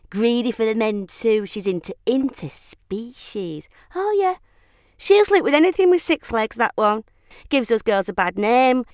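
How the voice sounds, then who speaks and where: A woman's voice: on the high side, fairly bright tone, no echo, studio quality. A woman voicing a gossipy, man-hungry ageing female praying mantis in a comic monologue.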